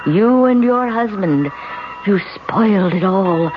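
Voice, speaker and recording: An actress playing the grim, foreboding ghost of a sea captain's wife in 1970s radio drama, speaking formally and reproachfully. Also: female voice, medium pitch, lively intonation, takes it slowly, noisy background. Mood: reproachful, ominous. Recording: dull old radio recording under loud music.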